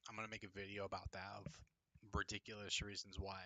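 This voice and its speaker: A man's voice: on the low side, little pitch movement, fast talker, bright, forceful tone, dry recording. A young man hosting a casual livestream, reading chat comments with a mocking, profane, laughing manner. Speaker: livestream host. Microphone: desk microphone.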